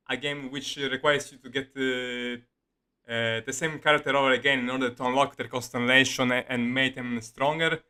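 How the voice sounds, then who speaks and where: Halting Italian-accented man streaming over music. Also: medium pitch, bright, forceful tone, clear, resonant tone. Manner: halting, rambling, self-correcting delivery. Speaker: game livestreamer. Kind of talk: casual gaming stream commentary. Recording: music playing behind the voice.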